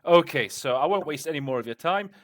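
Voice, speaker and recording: A man's voice: fairly high pitch, lively intonation, fast, fairly bright tone. A man hosting an online interpreting tutorial, chatty and self-deprecating, with many fillers and restarts. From Britain. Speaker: host. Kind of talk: casual tutorial introduction.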